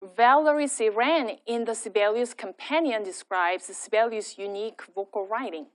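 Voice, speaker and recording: A woman giving a scripted academic lecture, reading her prepared text in a measured way. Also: a little high. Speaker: lecturer and singer.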